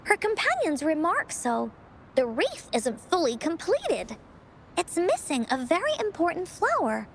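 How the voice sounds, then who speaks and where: A very high-pitched, lively little girl from a video game. She tells adventure tales with encouragement and theatrical pauses, her intonation rising and falling. There is a faint rumbling noise behind her. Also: exaggerated voice. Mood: gentle, solemn. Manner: theatrical, deliberate storytelling with dramatic pauses. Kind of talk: video game voice acting, dramatic storytelling.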